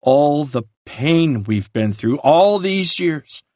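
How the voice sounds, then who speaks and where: An explosive, grief-stricken father, as a male narrator acts him out, his voice pushed high and loud in bitter accusation. The sound is close and dry. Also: unhurried, dry recording. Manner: shouting, bitter, seething. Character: furious grieving father, explosive. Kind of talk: audiobook character reading.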